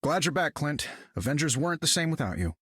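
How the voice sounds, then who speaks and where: An actor playing an assured, witty armored inventor superhero in a video game, brisk and smooth-talking. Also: male voice, fairly high pitch, big pitch swings, a bit bright, dry recording, clean studio sound, full character voice. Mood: pleased.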